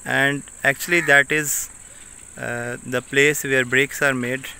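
Halting Pakistani-accented man, outdoors in fields. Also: mid-range voice, level tone, creaky, pushed and bright, slight background noise, poor audio. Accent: Pakistani English. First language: Saraiki. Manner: halting non-native English, many uh fillers. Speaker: village vlogger. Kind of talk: casual walking vlog. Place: outdoors in open farm fields. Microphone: phone held at arm's length. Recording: faint rural outdoor ambience.

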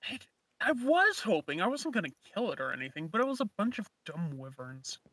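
Casting: male actor as young dragon-slaying hero, easygoing and chatty, forceful bright tone, slightly high, close dry sound. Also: big pitch swings, fairly quick, no echo, exaggerated voice. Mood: casual. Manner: casual, chatty. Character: eager, easygoing young male fire dragon slayer mage. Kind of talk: improvised fantasy game roleplay.

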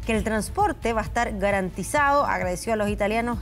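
A Chilean woman anchoring live news, speaking in a measured way with frequent hesitation fillers. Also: medium pitch, faint music behind. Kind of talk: live studio news commentary.

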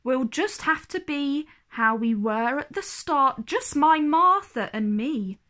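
A woman voicing an anxious, gentle mother in a storybook, with a worried manner. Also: sits high, animated, takes it slowly, exaggerated voice. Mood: surprised, resolved. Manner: worried, sighing, gasping.

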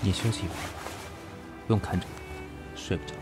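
An actor in an audio drama playing a proud, weary, sharp-tongued businessman, guarded with his lover, speaking in a curt, dry way. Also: low-pitched, fairly even intonation, fast talker, over loud music, natural voice.

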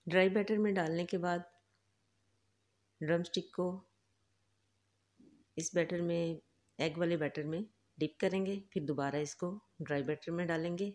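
A woman hosting a home cooking channel, giving calm, instructive step-by-step recipe narration. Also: on the low side, measured pace, pauses often. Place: home kitchen.

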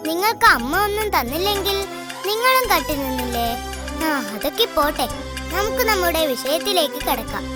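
Cheeky cartoon cat, very high voice, loud kids' music behind. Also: female voice, animated, moderate pace. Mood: cheeky, chatty. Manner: cheeky, talks straight to children. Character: sly chatty female house cat. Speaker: storyteller host. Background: loud children's music.

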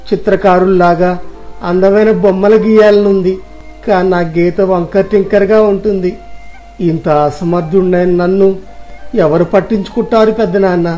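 A gloomy young village nephew, acted by a man, plaintive and full of self-doubt. The voice is very high for a male and darkly mellow. The story recording is dull, with faint music behind. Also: steady tone, normal speed, natural voice. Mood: dejected. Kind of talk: Telugu moral story audio drama.

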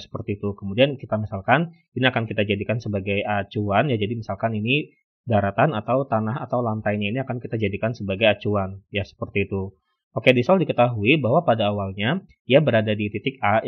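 A man tutoring, patiently walking through a physics problem and filling pauses with "ya" and "oke". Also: fairly low pitch, slightly rough. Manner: steady, patient, with frequent "ya" and "oke" fillers. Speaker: online tutor. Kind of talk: explaining a physics problem on a whiteboard. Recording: lo-fi phone-like recording.

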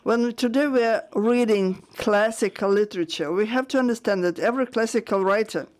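A woman interpreting a panel discussion live, halting and searching for words with frequent restarts. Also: mid-range voice, darkish tone.

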